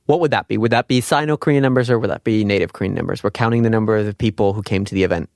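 A man's voice: fairly low pitch, rapid-fire, a little mellow, no echo. Dry-sounding man giving an informal lesson. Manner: conversational, explanatory, poses rhetorical questions to the listener. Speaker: language teacher and host. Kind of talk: informal spoken lesson with examples and quiz questions.

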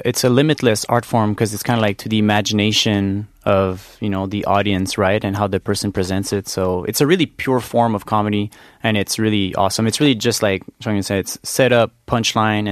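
A young man from Canada chats as a comedian guest. His voice sits low and his delivery is loose and upbeat, full of fillers. The studio sound is dry and close. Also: fairly even intonation, brisk, no echo. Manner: upbeat, loose, filler-heavy with frequent likes and you knows. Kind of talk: casual interview chat.

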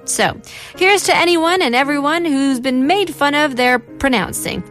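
A woman's voice: high voice, expressive, a little slow, a bit bright, soft background music. A woman hosting a radio segment, reading an essay and then chatting with her co-host, laughing easily. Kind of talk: scripted reading then casual chat.

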